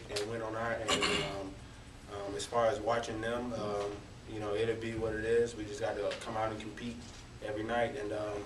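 Low, flat-toned young man, roomy and noisy. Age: early twenties. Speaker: college basketball player. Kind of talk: press conference answers. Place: indoor press conference room. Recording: roomy, distant, noisy press room recording.

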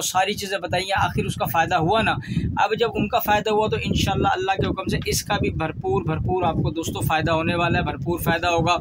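Casting: male Pakistani host, high voice, casual how-to delivery, drives points home by repetition, some noise behind. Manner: repeats phrases for emphasis. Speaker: fishing channel host. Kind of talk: casual instructional talk to viewers. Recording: clear background noise.

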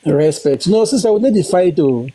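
A man's voice: fairly high pitch, expressive, moderate pace, a crowd in the background. A man from Cameroon with a Cameroonian accent, chatting earnestly in pidgin as a caller on a live stream. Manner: earnest, eager, pleading. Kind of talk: pidgin casual chat.

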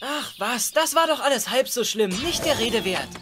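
A woman's voice: on the high side, expressive, moderate pace, music in the background, lightly acted. A woman playing a plucky, curious schoolboy hero in a German children's audio drama. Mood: brave, earnest.

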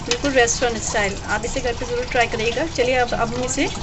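A woman's voice: a little high, steady tone, fast talker. A woman hosting a home recipe tutorial, explaining each step to viewers. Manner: instructional, step by step, addressing viewers. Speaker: home cook and channel host. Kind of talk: recipe tutorial narration over hands-on cooking. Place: home kitchen, indoors. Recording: very noisy homemade phone recording, household hum.

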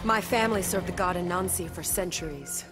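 A woman's voice: fairly low pitch, brisk, close to an everyday voice. A woman voicing a proud young superheroine in a video game, confident, dry and taunting. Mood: defiant. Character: proud, defiant animal-powered superheroine, young adult. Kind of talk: video game dramatic acting. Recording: loud music under the dialogue.

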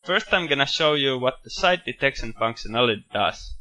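A man walking through a software screencast, explaining each step in a steady, matter-of-fact way with bits of enthusiasm. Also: mid-range voice, a little fast. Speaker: software tutorial presenter. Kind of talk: screencast walkthrough narration.

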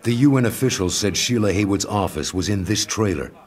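A man's voice: fairly low pitch, lively intonation, brisk, heavy background noise, natural voice. A man playing a stern, brooding masked vigilante in a motion comic, speaking in a terse, grave, controlled manner. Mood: polite, reserved. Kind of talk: motion comic dialogue and inner narration. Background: background music.

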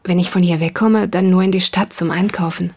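Husky, reserved country woman. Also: fairly low pitch, fairly even intonation, a little fast, darkish tone. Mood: guarded, polite. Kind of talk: German audio drama acting.

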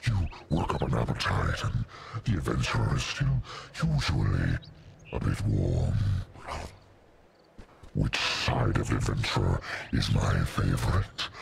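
An actor playing a menacing, hungry hellhound beast in a video game, with a gravelly growl and a slow, taunting, deliberate delivery. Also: male voice, very deep voice, background noise, exaggerated voice. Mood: smug, taunting. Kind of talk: video game character voice lines.

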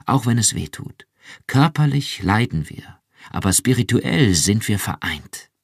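A man's voice: deep voice, expressive, slow pace, no echo, studio quality, heavily acted. A man voicing an earnest young wife who pleads insistently and fervently.

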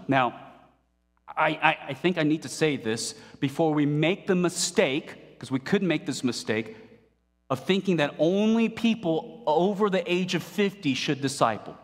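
A man in his forties delivers a lively sermon from a church pulpit, often stopping to quiz the congregation. His voice sits a bit high for a man. It carries the long reverb of a hall. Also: unhurried. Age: 40s. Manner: animated, playful, asks the congregation questions. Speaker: pastor. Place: church stage at a pulpit, indoors.

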